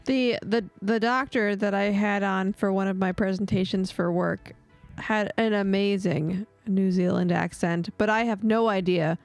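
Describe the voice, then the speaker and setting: A woman plays along as a contestant on a casual game show. She talks in halting, chatty bursts and giggles often. Her voice sits at an ordinary pitch, with faint music beneath. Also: steady tone. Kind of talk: casual chat.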